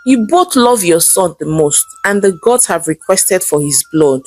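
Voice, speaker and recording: A solemn, commanding shrine priest, put on by a woman storyteller in a folktale. He pronounces things deliberately and ominously, with a wide-ranging pitch. Faint music plays behind. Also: animated, darkish tone. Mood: stern.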